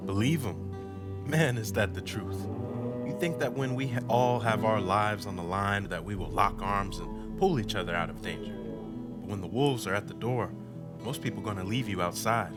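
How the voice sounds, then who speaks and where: An actor voices a world-weary male survivor in a radio testimonial. His low voice is folksy and halting, set against prominent guitar music. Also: natural voice. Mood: weary, bitter.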